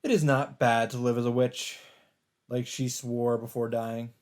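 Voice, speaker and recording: A man's voice: medium pitch, little pitch movement, measured pace, darkish tone. A man hosting a let's play, reading visual novel narration aloud and adding his own commentary. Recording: game music behind the voice.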